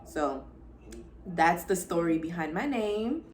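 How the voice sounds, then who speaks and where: A young woman from Florida talks straight to her viewers in a chatty, upbeat way, calling them "y'all" and "girl." Her voice sits a little low for a woman. It is a casual self-introduction on her lifestyle vlog. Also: animated, slow. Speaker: lifestyle vlogger.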